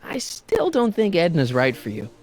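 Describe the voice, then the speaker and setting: Lively skeptical teenage boy. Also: a little high, normal speed, heavily acted. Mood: uneasy, incredulous. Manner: questioning, casual, incredulous. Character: skeptical, casual teenage boy, friend of an inventor. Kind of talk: animated video game dialogue.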